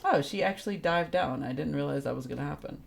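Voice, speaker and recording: A woman's voice: low voice, fast talker, vocal fry, slight background noise. A woman streaming a video game, giving casual, playful live commentary in an informal way. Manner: informal, playful, reacting aloud.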